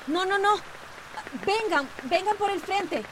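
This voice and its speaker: A woman's voice: very high-pitched, takes it slowly, a bit bright, full character voice. A woman acting an earnest, impatient schoolteacher in a radio drama. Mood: alarmed, urgent. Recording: faint background noise.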